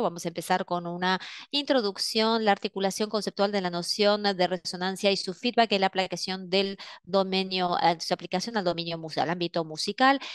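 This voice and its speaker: A woman interpreting an academic lecture, reading a translation aloud in a measured way. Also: medium pitch, clear, resonant tone, no echo, studio quality.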